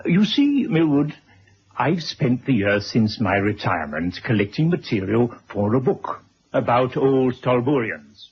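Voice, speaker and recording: Husky, courteous elderly gentleman. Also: medium pitch, very animated, unhurried, full character voice. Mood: earnest, gentle. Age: older. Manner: polite, precise, gently fussy. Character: courteous, fussy elderly retired man writing a memoir. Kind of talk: 1970s radio drama acting. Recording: dull vintage radio recording.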